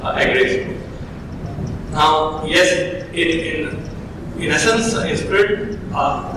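A man speaking as a panelist at a conference, explaining carefully with pauses and restarts. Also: a little high. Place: large conference hall stage. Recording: echoey hall, distant mic, noisy.

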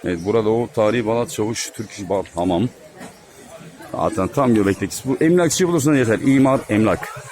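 A man's voice: lowish voice, brisk, a little mellow. A middle-aged man giving a casual walking tour vlog outdoors, chatty and teasing, talking to his viewers as friends. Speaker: vlogger giving a street tour. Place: outdoors on a busy street. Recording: outdoor street crowd behind.

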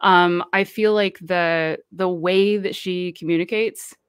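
A woman with a self-described deep voice, speaking as a guest on a livestream panel and calling out critics with passion. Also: steady tone, slow, smooth, no echo, studio quality. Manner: impassioned, speaks out forcefully.

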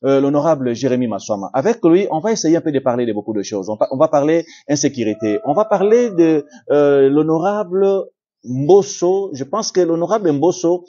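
A man with a Congolese French accent hosts a television talk show, his voice dark and mellow. He hesitates often, filling gaps with "euh" and repeating himself. The recording sounds somewhat dull and lo-fi. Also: on the high side, animated, brisk. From Democratic Republic of the Congo. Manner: hesitant, many "euh" fillers, repetitive phrasing. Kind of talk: TV studio interview.

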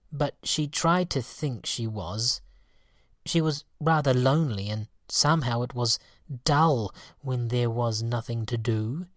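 A woman narrates a children's storybook in a very deep voice. Her pitch moves about in a lively way, and the sound is close and dry. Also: dry recording. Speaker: storybook reader.

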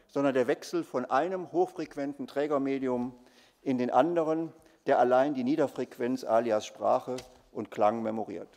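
Male lecturer, fifties, mid-pitched, formal and measured scripted delivery, big echoing theatre sound. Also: vocal fry, a little mellow. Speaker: academic lecturer. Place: large dark theatre stage at a lectern. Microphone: lectern microphone. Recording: long hall reverb.

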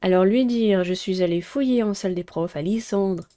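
A woman's voice: mid-range voice, normal speed, dark tone. A young woman playing a visual novel, reading dialogue aloud and commenting in a playful, teasing way. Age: young adult.

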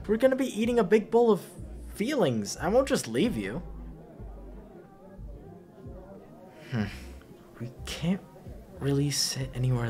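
A man acts out a caring young guy, the best friend at a house party, in an intimate roleplay audio. He talks slowly and haltingly, rambling along with very animated pitch swings. Music plays behind him. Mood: gently concerned. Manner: halting, rambling, breathy chuckles. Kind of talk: ASMR roleplay, casual intimate dialogue.

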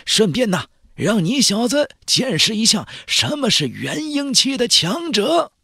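A man voicing a boastful, crotchety old Taoist cultivator who teases and brags in an unhurried, raspy way. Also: sits high, very animated, sharp tone, no echo, clean studio sound, voice pushed up, full character voice. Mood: boastful, teasing. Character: cocky old male Taoist cultivator master, teasing and boastful.

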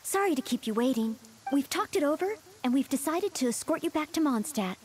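High, earnest young maid. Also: female voice, animated, fairly quick, a little hiss or hum. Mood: polite, dutiful. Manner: formal, courteous, gentle, dutiful. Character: polite, earnest young maid devoted to serving guests. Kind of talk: scripted video game voice acting.

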